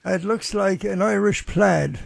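A man hosting a radio show with casual banter and a gravelly, creaky voice. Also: high voice, unhurried. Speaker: radio host.

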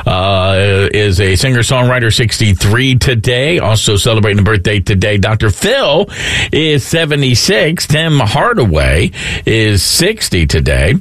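A man's voice: fairly low pitch, sing-song, a little slow, fairly bright tone, dry recording, clean studio sound. A man hosting a local radio birthday segment, chatty and loose with frequent fillers. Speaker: radio host.

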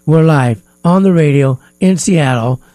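A man hosting a live music talk radio show in a studio, sounding stuffy-nosed and pausing often with fillers. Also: fairly high pitch, dark tone, dry recording. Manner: hesitant, many filler pauses. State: stuffy nose. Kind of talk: casual talk radio chat.

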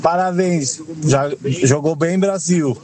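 A man's voice: on the high side, slow, crowd noise. A man who drives for a ride app leaving an enthusiastic voice message for a radio show. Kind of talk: casual call-in message.

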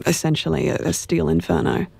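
A man's voice: a little high, little pitch movement, brisk, creaky, a little mellow, husky, no echo. A man hosting a radio interview program, asking thoughtful, probing questions. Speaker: radio host.

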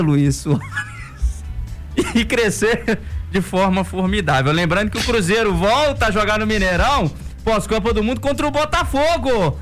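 High-voiced Brazilian man, chatty sports talk. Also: a bit bright. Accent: Brazilian Portuguese. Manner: joking, chatty, quick asides. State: coming down with a cold. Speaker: sports radio co-host. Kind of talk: casual sports radio chat.

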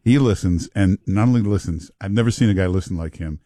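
A man's voice: deep voice, quick pace, warm tone, no echo, studio quality. A man hosting a call-in radio talk show, bantering and joking with callers in a brash way.

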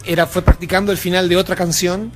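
A man's voice: sits high, flat delivery, quick pace, music in the background. A Uruguayan man with a Uruguayan Spanish accent, a band member chatting casually in an interview backstage, rambling with many fillers and restarts. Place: backstage at a music venue.

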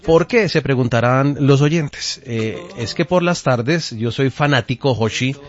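A man's voice: mid-range voice, measured pace. A man hosting a radio interview, chatty and rambling with many hesitations. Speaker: radio host. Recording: dull lo-fi radio audio, faint music.

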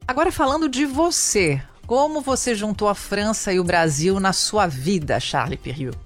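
A woman's voice: mid-range voice, expressive, moderate pace, faint music behind. A woman hosting an interview in a conversational style. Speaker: interviewer, host.

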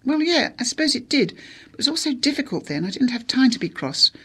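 A woman's voice: high voice, very animated, fast talker, a bit bright, slightly rough, voice pushed up, exaggerated voice. A woman voicing a brave, upset teenage girl who speaks earnestly, as a character in an audiobook. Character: brave teenage daughter, upset but holding herself together.

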